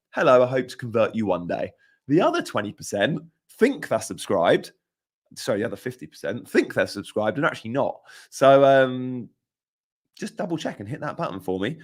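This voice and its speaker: Chatty croaky-voiced young man streaming. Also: mid-range voice. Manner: chatty, emphatic, repeats points. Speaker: fantasy football streamer. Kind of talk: live-stream chat reading comments.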